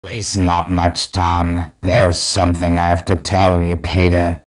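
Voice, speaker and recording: A man's voice: deep voice, monotone, slow. A man voicing a bitter, theatrical supervillain archenemy in a comic dub. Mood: weary, grave. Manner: sneering, theatrical.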